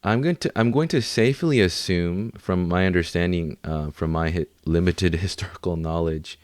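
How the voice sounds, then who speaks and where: A man's voice: low-pitched, moderate pace, darkish tone, no room sound, clean studio sound. A man co-hosting a podcast, talking casually in informal, bro-ish slang. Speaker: podcast co-host.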